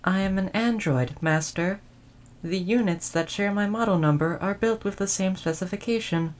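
Woman voicing a female android servant for audio roleplay; courteous, precise wording, caring temper, mid-pitched. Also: lightly acted. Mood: earnest, reflective. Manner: formal, polite, measured phrasing. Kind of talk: audio roleplay addressed to the listener.

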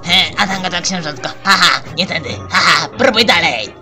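A man's voice: high voice, lively intonation, moderate pace. A man voicing a grumpy, scolding old porcupine in an amateur cartoon fan dub. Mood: mocking. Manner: cantankerous, scolding, taunting. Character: grumpy old male porcupine guarding his log. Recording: music behind the voice.

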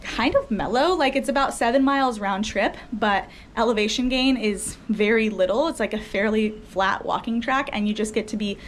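A woman's voice: fairly high pitch, normal speed, hardly any pauses, slight background noise. A young woman talking to camera as a travel vlogger, chatty and enthusiastic with many fillers, recorded indoors on a close camera. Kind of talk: casual talk to camera. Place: indoors by a window.

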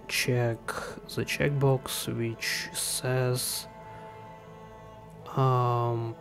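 Guy doing a casual game settings walkthrough, really even flat voice, halting, music playing behind him. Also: mid-range voice. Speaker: gaming tutorial host. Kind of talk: casual tutorial narration. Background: background music.

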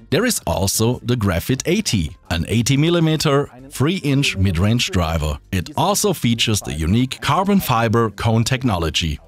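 A middle-aged man with a German accent giving a scripted product presentation in a studio. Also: mid-range voice. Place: small dark studio with acoustic foam. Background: faint background music.

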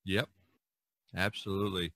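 A man hosting a casual podcast discussion, talking in a rambling, halting way with repeated phrases. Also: sits low, flat delivery, fairly bright tone, no echo. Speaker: podcast host and artist.

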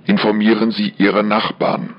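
A man reading a formal fire brigade emergency announcement in a measured, official manner, with dull radio sound. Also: on the low side, little pitch movement.

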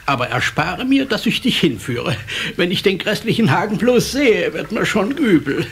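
Grumpy old carp, swooping high. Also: male voice, very animated, normal speed, vocal fry, a bit bright, slightly rough, full character voice. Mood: sulky. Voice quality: blubbering. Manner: theatrical, scolding, exclaiming. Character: grumpy old male carp, know-it-all, easily outraged. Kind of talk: children's radio play acting. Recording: slightly noisy old cassette transfer.

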